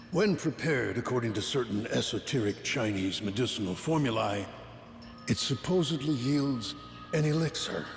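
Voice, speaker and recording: A composed, learned gentleman from a video game. His mid-pitched voice is formal and precise, ringing in a large echoing space with faint music. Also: vocal fry. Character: learned, composed scholarly gentleman explaining old lore. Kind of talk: scripted video game cutscene acting. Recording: echoey hall with faint music.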